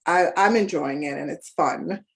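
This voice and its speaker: This is a talkative woman from Ghana with a fairly low voice, a therapist speaking as an interview guest. She rambles in a relaxed way, often tags her thoughts with "right?" and pauses on fillers as she thinks. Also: gravelly. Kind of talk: casual conversational interview.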